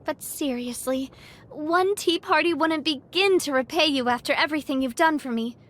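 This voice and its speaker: A woman playing a cheerful, genteel young lady and confident leader in a video game, bubbly, expressive and playful. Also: high voice, moderate pace. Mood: wistful, sincere. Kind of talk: video game character voice lines.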